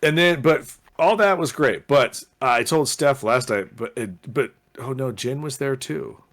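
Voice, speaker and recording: A man's voice: medium pitch, unhurried, fairly bright tone. Rambling man chatting on a podcast. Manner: rambling, many fillers and restarts. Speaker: podcast co-host. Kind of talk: casual chat. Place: Los Angeles area.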